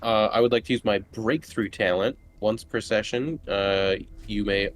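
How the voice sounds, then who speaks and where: A man's voice: fairly low pitch, steady tone, normal speed. A man playing a tabletop game, chatting casually and tentatively about his next move.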